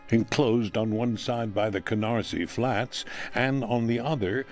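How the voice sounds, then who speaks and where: A man's voice: medium pitch, unhurried. A man reading a literary memoir aloud as scripted narration. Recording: music under the voice.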